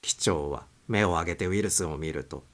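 A man's voice: low-pitched, a little fast, a bit bright. A man narrating a suspense story as a dramatic audiobook reading.

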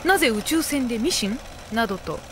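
A woman narrating a scripted anime comedy audio drama, with deadpan asides. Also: a little high, fairly quick, background noise, natural voice.

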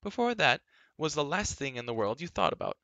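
Man voicing a high-pitched, spirited storybook girl. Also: animated, brisk, gravelly, sharp tone, no room sound, heavily acted. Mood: indignant. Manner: chattering, emphatic, indignant. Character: spirited, talkative, headstrong adventurous young girl. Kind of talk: acted audiobook dialogue.

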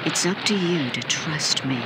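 Low-voiced, scheming demon woman. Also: lively intonation, moderate pace. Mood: taunting, cool. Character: cool, scheming demon woman, aloof and self-serving. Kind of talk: video game voice acting. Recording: game audio with clear background noise.

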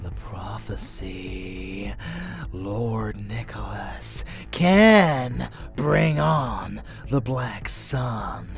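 A man voicing an unhinged, seductive vampire warrior in a dark romance audio roleplay, theatrical, with breathy murmurs and sudden swells. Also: on the low side, animated, very slow, soft background music, exaggerated voice. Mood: grave.

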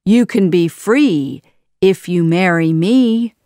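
A woman voicing an evil, commanding male sorcerer in a children's storybook, with a menacing, shouting delivery. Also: on the low side, lively intonation, takes it slowly, dry recording, clean studio sound. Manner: shouting, imperious.

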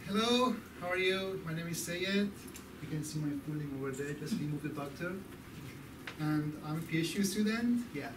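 A man's voice: a little high, animated, normal speed, noisy background. A young man introduces himself lightly and with a joke, in a mid-range voice. He sounds distant and echoey, recorded by a camera across a small room. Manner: light, joking. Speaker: PhD student. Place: small classroom with hard walls. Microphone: far camera.

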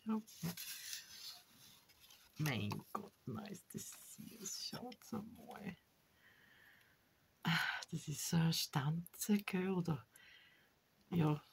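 A woman with a stuffy nose talks slowly through a craft journal, her voice gravelly with fry. She rambles, breaks off mid-sentence and teases herself. A faint noise sits under the recording. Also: fairly low pitch, big pitch swings. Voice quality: chatty, self-deprecating, trails off mid-sentence. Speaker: crafting vlogger. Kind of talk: casual chat while showing a junk journal. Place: indoors at a table.

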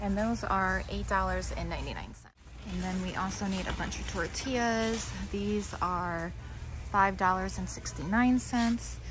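A woman's voice: medium pitch, measured pace. Chatty woman vlogging in a noisy store. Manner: chatty running commentary, thinking aloud. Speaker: family shopping vlogger. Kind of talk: casual shopping vlog narration. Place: large indoor warehouse store. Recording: clear background noise of warehouse store.

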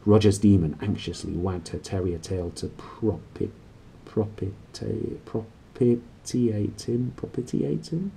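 A man in his thirties reading a storybook aloud with expressive, dramatic phrasing. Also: lowish voice, slow pace, vocal fry, a little hiss or hum, poor audio. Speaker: book reader and presenter. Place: small indoor room.

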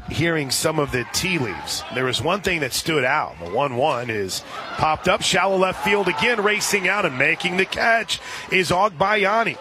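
Higher-pitched American man calling softball, crowd behind. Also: background noise. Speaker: radio play-by-play announcer. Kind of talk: live softball play-by-play commentary. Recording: outdoor stadium broadcast with crowd and music. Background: ballpark crowd and music.

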